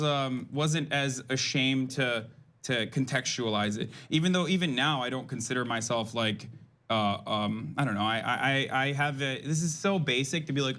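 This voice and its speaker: A young man from Turkey talking on a casual panel. He rambles as he goes and keeps doubling back to restart his sentences. His voice sits at a middle pitch. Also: fairly bright tone. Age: young adult. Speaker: political commentator.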